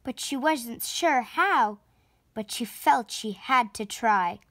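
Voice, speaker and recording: A preteen girl reading a children's picture book aloud with expressive, deliberate storytelling. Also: fairly high pitch, dry recording, clean studio sound. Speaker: storybook reader and channel host. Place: indoor room at home, on a sofa.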